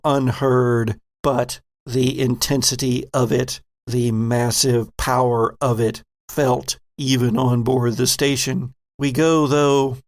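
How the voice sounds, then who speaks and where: A man narrating a tabletop horror game as game master, slow and measured. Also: medium pitch, dry recording, clean studio sound.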